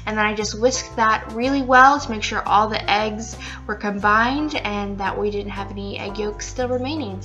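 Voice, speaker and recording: A young woman chatting casually through a home cooking video, upbeat and full of fillers, recorded at home with soft music behind. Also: mid-range voice, normal speed, hardly any pauses. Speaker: cooking vlogger. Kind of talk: casual cook-along narration. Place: living room at home, indoors.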